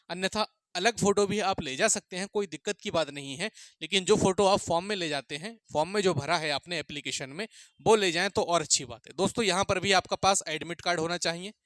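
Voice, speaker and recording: A man's voice: sits high, bright, forceful tone, no room sound. A man with a North Indian accent giving an explanatory online lecture as a coaching instructor, repeating points and often checking that listeners follow. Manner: repetitive, with frequent check-in phrases for listeners.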